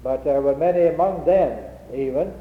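A man preaching an unscripted sermon with a head cold, speaking in a humble, halting way with frequent restarts. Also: a little high. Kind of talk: old-fashioned unscripted sermon. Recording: tinny lo-fi recording in an echoey hall.

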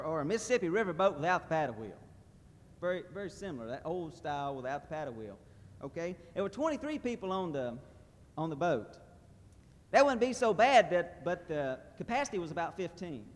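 A man giving a folksy church testimony about a mission trip, talking straight to the crowd. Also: high-pitched. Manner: folksy, animated, addresses crowd. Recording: reverberant hall.

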